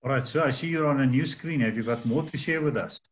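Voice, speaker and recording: A middle-aged man hosting a video call interview from a home office, asking questions in a relaxed, conversational way. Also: medium pitch, fast talker. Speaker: host of virtual trade fair. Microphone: laptop mic on video call. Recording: video call with faint background noise.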